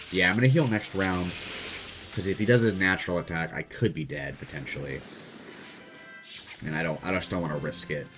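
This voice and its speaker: A soft-spoken young man casually commenting on his gameplay as host. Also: sits low. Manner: quiet, soft-spoken, laid-back. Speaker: gaming channel host.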